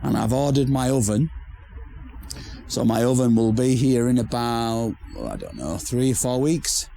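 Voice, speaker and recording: A hoarse man who has nearly lost his voice, chatting casually to camera about his outdoor building project with rambling restarts. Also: mid-range voice, expressive, normal speed, mellow, slight background noise. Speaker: vlogger building a pizza oven shelter. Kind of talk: casual to-camera DIY chat. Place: outdoor building site in a garden.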